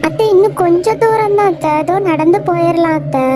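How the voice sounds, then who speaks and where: A woman's voice: extremely high pitch, a little fast, pitched above the performer's own voice. A woman voicing a fretful young Tamil housewife in a cartoon, with a pleading, repetitive manner and a Tamil accent. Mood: coaxing. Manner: pleading, fretful, keeps repeating "athai". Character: young Tamil housewife Thamayanthi, anxious and fussy; also a ghost disguised as her. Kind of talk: cartoon voice acting. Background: background music.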